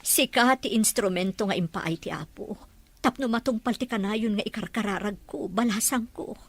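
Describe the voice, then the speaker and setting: A woman's voice: medium pitch, moderate pace, husky, no room sound. A woman with an Ilocano Filipino accent playing an anxious, loving middle-aged mother in an audio drama. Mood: tender, hopeful. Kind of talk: radio-drama dialogue.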